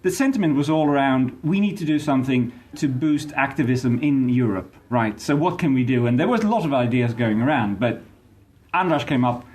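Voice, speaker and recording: A man's voice: mid-range voice, animated, fairly quick, a little mellow. A man from Sweden, a podcast co-host, talking hesitantly with many fillers in a casual chat. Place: conference venue.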